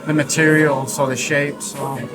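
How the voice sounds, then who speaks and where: A man's voice: on the high side, little pitch movement, moderate pace, music in the background. A man hosting a conversational interview on location, putting questions to a guest. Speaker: interview host. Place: busy hi-fi trade show hall. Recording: crowd chatter around the voice. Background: crowd chatter.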